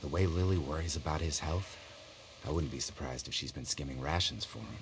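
A man's voice: a bass voice, brisk, gravelly, background noise. A man with an American accent playing a frustrated, hungry, talkative survivor in a video game. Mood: grumbling, wry.

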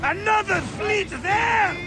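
An actor voicing a gruff old sea captain in a video game, bellowing and florid, over loud music and battle at sea. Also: male voice, extremely high pitch, expressive, slow pace, a crowd in the background, heavily acted. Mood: defiant, urgent. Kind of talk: video game dramatic acting.

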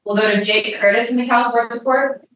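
Woman moderator, far from mic in a super echoey room, slightly high voice, calling names. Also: steady tone, brisk, a bit bright. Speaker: press conference moderator. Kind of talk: brief announcements of the next questioner. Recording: echoey, distant mic with noise.

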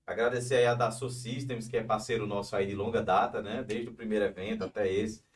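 A man's voice: fairly low pitch, fairly even intonation, a little fast, far from the mic. A man hosting a live online event who talks in a friendly, informal way with many filler tags. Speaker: event host.

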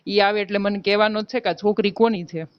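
A woman's voice: medium pitch, steady tone, quick pace, close to an everyday voice. A woman playing an aggrieved married village woman in a phone-call drama, with a Gujarati accent, insistently accusing and threatening her lover. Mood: reproachful. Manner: insistent, reproachful, argumentative. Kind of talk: staged phone-call drama in colloquial speech. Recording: dull, lo-fi phone call recording.

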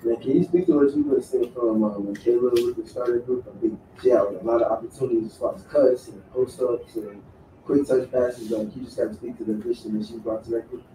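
A man's voice: medium pitch, fairly even intonation, a little fast, background noise. A man reporter asking a player questions at a press conference in a casual, hedging way. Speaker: sports reporter. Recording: echoey room, distant mic.

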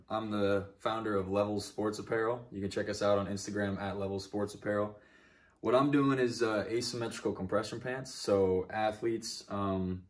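A young man pitching his clothing brand in a basement shop, hesitant with many fillers, filmed on a phone a few steps away. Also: recorded from a distance. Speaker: guest client, brand founder. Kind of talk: pitching his product to camera. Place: home basement print shop. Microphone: phone camera a few steps away.

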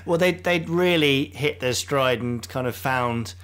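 A man's voice: medium pitch, a little slow, a bit bright. A man hosting a podcast in casual chat, joking and laughing often. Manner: chatty, jokey, laughs often. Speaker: podcast host.

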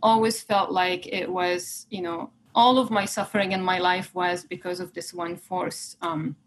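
A woman's voice: lowish voice, fairly even intonation, low-quality recording. Earnest woman on a headset call. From Palestine. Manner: earnest, with many fillers and pauses. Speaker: interviewer, executive director. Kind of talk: personal conversational interview. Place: living room at home. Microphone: earphone headset on video call.

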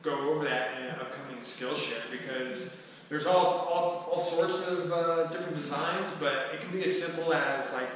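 Far from the microphone in a very echoey hall sounds a man with a bright, forceful voice. He presents casually, pausing and restarting as he thinks aloud. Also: fairly high pitch, a little hiss or hum. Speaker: presenter at a skillshare. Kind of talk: informal talk to a small group. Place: large wooden-floored hall, indoors. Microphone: distant camera microphone.

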